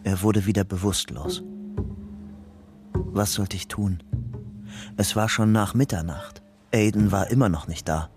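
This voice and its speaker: A man's voice: lowish voice, fairly even intonation, measured pace, long pauses, over music. An actor playing an anxious, guarded young man on the run in a German radio crime drama. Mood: brooding, tense. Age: young adult. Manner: brooding narration alternating with urgent questioning. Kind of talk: German radio crime drama, first-person narration and dialogue.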